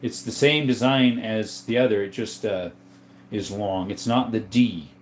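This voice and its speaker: Relaxed man chatting on a livestream. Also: lowish voice, a little slow, a little hiss or hum. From Arizona. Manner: relaxed, joking, reads comments aloud. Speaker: livestream host reading chat. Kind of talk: casual livestream chat. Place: indoors, room with guns on display.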